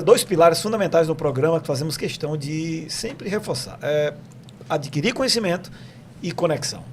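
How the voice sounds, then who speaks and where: A male talk show host with a strongly gravelly voice that sits a little high. He talks in a motivational, preachy way. Soft hiss is heard behind him.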